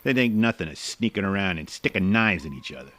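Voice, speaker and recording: Man acting a blustering, forceful small-town cop. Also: sits low, expressive, fast talker. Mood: suspicious. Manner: accusatory, curt, bullying. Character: burly, blustering, suspicious small-town police constable. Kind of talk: old-time detective radio drama acting.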